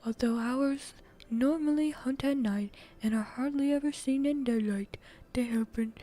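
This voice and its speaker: A woman's voice: high voice, a little slow, mellow. A male newscaster, acted out by a woman reader delivers a storybook bulletin. He sounds formal and projected, with a touch of amusement. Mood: formal, amused.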